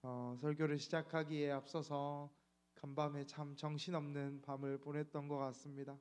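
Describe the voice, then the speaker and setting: A man's voice: fairly high pitch, flat delivery, normal speed, darkish tone. A man in his thirties, a pastor, giving a reflective midweek sermon with frequent fillers. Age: 30s.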